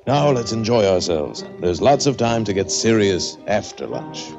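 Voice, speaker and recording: An actor playing a polite man of about fifty, an attorney, in a 1940s radio drama, pausing with "uh". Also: lowish voice, big pitch swings. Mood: courteous. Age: 50s. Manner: courteous, hesitant with "uh". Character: smooth, polite middle-aged male attorney. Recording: lo-fi old radio recording with music.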